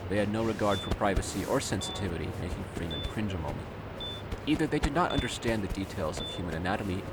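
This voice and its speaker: A man's voice: fairly low pitch, lively intonation, fast, very noisy. A man reading scripted narration for an audio drama. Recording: loud ambient sound effects bed.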